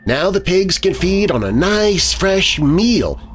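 A man's voice: on the high side, very animated, moderate pace, husky, soft background music, voice pushed up. A man voicing a coldly deranged wife who soothes and sneers with hissing menace in a horror story. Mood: sinister mockery.

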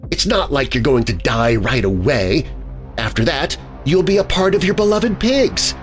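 A man's voice: medium pitch, big pitch swings, normal speed, faint music behind, voice pushed up, lightly acted. A man voicing a coldly deranged wife who soothes and sneers with hissing menace in a horror story. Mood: sinister mockery.

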